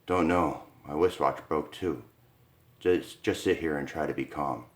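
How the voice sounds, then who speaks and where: A man voicing a young husband who talks in a low, soothing, reassuring way. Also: moderate pace, fairly bright tone. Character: steady young husband trying to stay calm.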